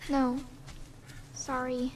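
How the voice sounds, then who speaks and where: A woman's voice: sits high, completely flat delivery, very slow, background noise. A woman playing a small, earnest, subdued orphan girl in a video game, speaking in short plain childlike phrases. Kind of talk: video game cutscene acting. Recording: game audio with clear background ambience.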